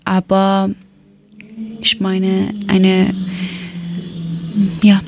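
A young woman from Afghanistan with an Afghan Dari accent, a native Persian speaker, talking haltingly in a learned language, often stopping to search for words. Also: mid-range voice, monotone, background noise. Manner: hesitant, searching for words, many fillers and restarts. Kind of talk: unscripted monologue in a learned language.

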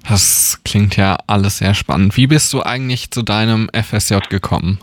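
A man's voice: on the low side, moderate pace, dry recording. A man of twenty presenting a radio segment and interviewing a guest in an upbeat, friendly way. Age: 20s.

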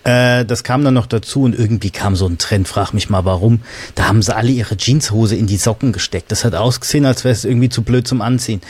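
A man's voice: fairly low pitch, fast talker, a little mellow, slightly rough. A man hosting a casual podcast conversation, speaking colloquially and candidly with a joking streak. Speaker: podcast host.

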